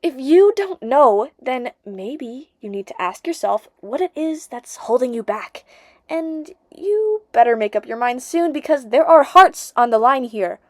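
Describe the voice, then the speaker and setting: Audiobook teen best friend, played by a woman: evasive gossip lover, raised pitch, emphatic and exclamatory, close dry sound. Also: big pitch swings, unhurried, dry recording. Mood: exasperated, urging. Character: evasive, gossip-loving teenage girl friend, pressing then sheepish. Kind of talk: audiobook character dialogue.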